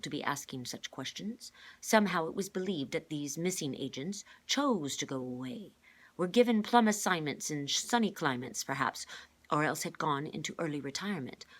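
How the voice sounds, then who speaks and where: A woman voicing a grave, gentle older male mentor with a measured, formal way of speaking, reading a children's storybook aloud. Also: sits low, expressive, husky. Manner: measured, formal, careful wording.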